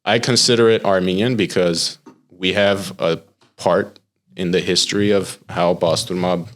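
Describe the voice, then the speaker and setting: A young American man, a chef, talking casually in a podcast interview and explaining things in a relaxed way, with lots of filler words. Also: low-pitched, normal speed. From United States. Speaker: chef and pitmaster, podcast guest.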